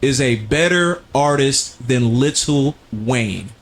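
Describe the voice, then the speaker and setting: A man's voice: medium pitch, expressive, takes it slowly, slight background noise. A young man hosting a podcast, playfully steering the talk to new topics. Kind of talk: casual chat.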